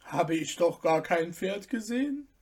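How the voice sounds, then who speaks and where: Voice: medium pitch, takes it slowly, voice pushed up. A reader doing a sly shrewd merchant. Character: sly shrewd middle-aged male merchant.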